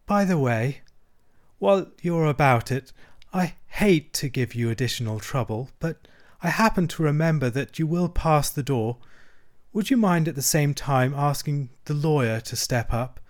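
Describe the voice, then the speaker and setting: A man's voice: a little high, close to an everyday voice. Man voicing feeble, languid, self-pitying toad. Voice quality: feeble, weak. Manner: murmuring, drawn-out, martyred. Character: languid, self-pitying male toad feigning mortal illness. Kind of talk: storybook character acting.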